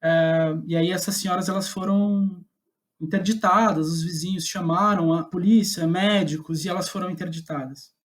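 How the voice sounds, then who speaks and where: A man's voice: high-pitched, fairly even intonation. A man with a Brazilian Portuguese accent, reflecting in a podcast interview as a guest playwright, searching for his words as he goes. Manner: halting, thoughtful, searching for words.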